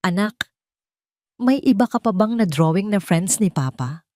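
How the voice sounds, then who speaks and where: A woman's voice: mid-range voice, animated, a little slow, darkish tone, slight background noise, close to an everyday voice. A woman with a Filipino accent voicing an anxious, polite young mother in an audio drama. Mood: shaken, forced calm.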